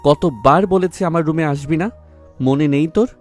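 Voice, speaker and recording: Gruff, hot-tempered young man, played by a male actor, highish male pitch, barking orders in an audio romance over music. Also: moderate pace, darkish tone, lightly acted. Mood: angry, scolding. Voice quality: gruff, harsh. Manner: curt, commanding, insulting. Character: angry, stubborn, domineering young man scolding a girl. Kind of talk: dramatised audio love story. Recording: background music under the voice.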